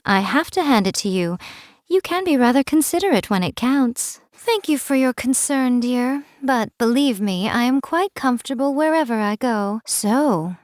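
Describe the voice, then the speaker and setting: A woman's voice: fairly high pitch, dry recording, clean studio sound, natural voice. A woman voicing a sly, teasing fox-spirit shrine priestess in a video game, speaking in a languid, amused way. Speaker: game character voice.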